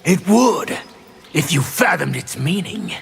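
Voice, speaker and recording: Slow, gravelly, sadistic warrior. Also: male voice, on the high side, animated, heavily acted. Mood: threatening. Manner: slow, taunting, menacing. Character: Reiko, brutal sadistic male warrior general. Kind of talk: fighting game character acting.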